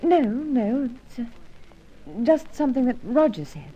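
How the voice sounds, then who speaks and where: A woman's voice: high-pitched, big pitch swings, a little slow, warm tone, exaggerated voice. An actress playing an innocent, earnest young woman in an old radio serial, with a hesitant, sincere manner. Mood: anxious, earnest. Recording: muffled old radio recording.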